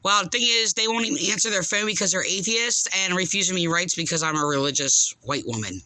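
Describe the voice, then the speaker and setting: A woman caller making a complaint in unscripted speech, aggrieved and insistent, halting and rambling as she goes. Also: fairly low pitch, sharp tone, no room sound, poor audio.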